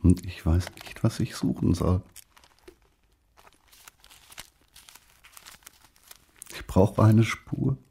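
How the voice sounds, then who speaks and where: Haunted, grieving man bent on finding a killer, radio drama: extremely low, sombre, intimate, slight background noise. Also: expressive, a little slow, creaky, dark tone, heavily acted. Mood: determined. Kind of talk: radio drama inner monologue.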